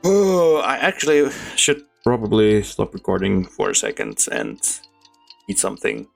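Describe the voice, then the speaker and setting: Slow-paced, lilting, playful game streamer. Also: medium pitch, very animated, creaky. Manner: chatty, giggly, playful. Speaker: streamer playing and reacting to a game. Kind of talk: casual gameplay commentary. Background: faint game music.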